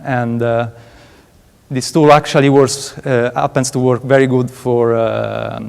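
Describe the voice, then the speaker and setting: A man's voice: medium pitch, flat delivery, unhurried, creaky, darkish tone, smooth. A man in his late twenties with an Italian accent, a PhD student giving a conference talk, hesitant and full of filler sounds. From Italy. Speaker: PhD student presenting research. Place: large conference hall. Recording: reverberant conference hall.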